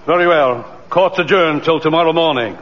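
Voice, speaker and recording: Commanding courtroom judge for vintage radio drama, male actor; stern, pitched fairly high. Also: normal speed, very noisy, close to an everyday voice. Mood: formal. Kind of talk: 1940s radio acting. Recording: dull radio recording, courtroom crowd behind.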